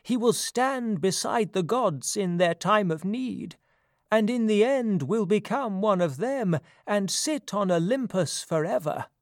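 Audiobook role: aged male seer played by a narrator, gentle, solemn, raised pitch, unhurried prophecy. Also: expressive, no room sound, clean studio sound. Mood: solemn, reassuring. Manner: solemn, measured prophetic delivery.

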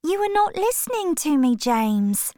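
A woman's voice: high voice, sing-song, unhurried, warm tone, no echo, studio quality, pitched above the performer's own voice. A woman reading the part of a starry-eyed young actress in love in a storybook. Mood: dreamy.

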